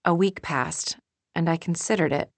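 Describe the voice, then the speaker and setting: A woman narrates first-person fiction in a low-pitched voice, recorded close and dry. She reads from a script as an audiobook narrator. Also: normal speed, no echo.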